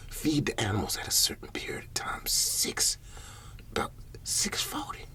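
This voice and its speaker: A man playing a paranoid, troubled older Southern farmer in an ASMR roleplay, whispering haltingly and repeating his words as he rambles. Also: on the low side, bright, forceful tone, full character voice. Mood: wistful, confiding. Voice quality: breathy whisper.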